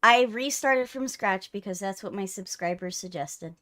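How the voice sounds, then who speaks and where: A woman's voice: medium pitch, normal speed, a bit bright, no echo. A woman hosting a livestream in casual chat, teasing her co-host playfully, close on the mic.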